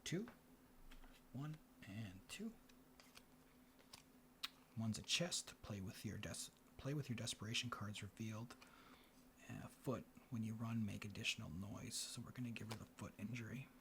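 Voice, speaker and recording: Soft-spoken, whispering ASMR man, background noise. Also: fairly low pitch. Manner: murmured, unhurried play-by-play to himself and the players. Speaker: host of a board game ASMR show. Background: room ambience, board game handling sounds.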